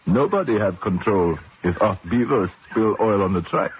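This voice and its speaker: Older Cajun man, played by a male actor: low-voiced, earnest, superstitious mechanic, broken grammar, radio drama over a narrow, hissy band. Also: animated, moderate pace, close to an everyday voice. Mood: earnest, insistent. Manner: clipped broken grammar, solemn pronouncements. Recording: noisy, tinny old radio broadcast.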